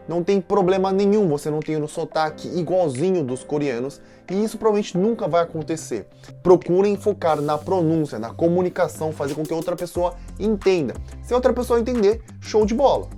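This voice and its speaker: A man's voice: a little high, darkish tone. A man with a Brazilian Portuguese accent, a language teacher giving a casual lesson and talking straight to his viewers in a chatty, direct way. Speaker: Korean teacher and channel owner.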